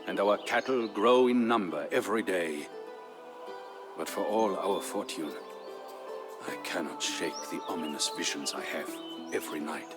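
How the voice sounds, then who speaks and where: An actor playing a solemn Visigothic warrior chieftain, telling his people's history as measured, theatrical narration for a strategy game. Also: sits low. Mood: somber, brooding. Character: grave Visigothic warrior chieftain, the dead king's brother-in-law, recounting history. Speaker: story narrator. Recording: narration over prominent music.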